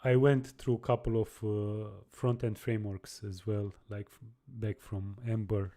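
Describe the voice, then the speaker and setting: Hesitant low-voiced man streaming live. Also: level tone, mellow. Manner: halting, many restarts and repeated words. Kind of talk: casual live-stream chat answering viewers.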